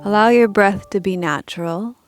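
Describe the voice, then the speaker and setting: A woman leading a guided meditation as a teacher, speaking calmly and gently in measured instructions with pauses. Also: mid-range voice, faint music behind.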